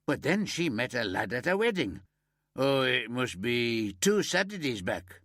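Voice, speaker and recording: A man voicing a grieving old ex-miner from Yorkshire who keeps birds, in a broad homely Yorkshire accent, pitched above his own narrating voice. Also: very animated, a little slow, no room sound, clean studio sound. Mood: wistful. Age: older. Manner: broad and homely, higher and warmer than the narrator.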